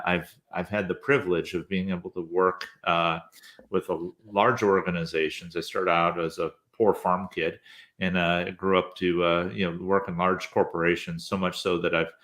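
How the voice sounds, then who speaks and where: Very deep-voiced man, easygoing and rambling. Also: level tone, a bit bright. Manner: easygoing, hesitant, with many drawn-out fillers. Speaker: podcast host, interview guest. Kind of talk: rambling conversational storytelling.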